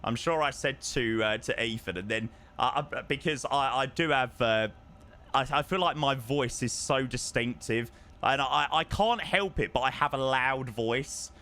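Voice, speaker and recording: A young man chatting casually to his live-stream viewers, telling stories with lively, animated delivery and answering chat. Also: mid-range voice, a little slow. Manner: chatty, animated storytelling, reads chat aloud. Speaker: flight sim streamer.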